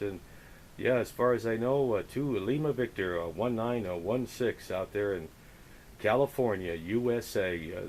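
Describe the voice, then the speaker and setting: A low-pitched man chats casually over the radio as an operator running the frequency. He is chummy and halting, often feeling for his next word. A light static hiss sits around his voice. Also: darkish tone. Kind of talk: casual radio contact chat. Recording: hissy, noisy radio recording.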